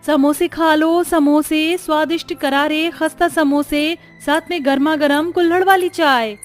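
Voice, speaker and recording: A woman's voice: sits high, fairly even intonation, measured pace, soft background music, voice pushed up. A woman voicing a hardworking young daughter-in-law in a moral story, hawking tea and samosas in sing-song vendor calls. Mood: eager hawking.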